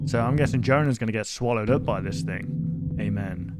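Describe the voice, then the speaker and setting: A man's voice: on the low side, normal speed, over loud music. A man giving casual reaction commentary as a video host, thinking aloud in a puzzled, questioning, rambling way.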